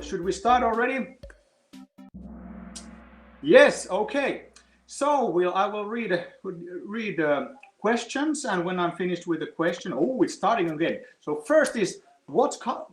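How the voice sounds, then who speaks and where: A man with a slightly high voice hosts a live online quiz, reading out questions and going off into long explanations. His voice rings in a roomy, echoey space, with faint music running underneath. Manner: chatty, explains at length between questions. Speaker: quiz host.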